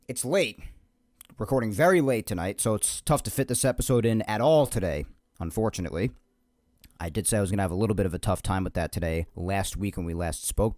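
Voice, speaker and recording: A young man hosting a solo sports podcast, energetic and rambling, his voice slightly hoarse. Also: fairly low pitch, dry recording, clean studio sound. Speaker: podcast host.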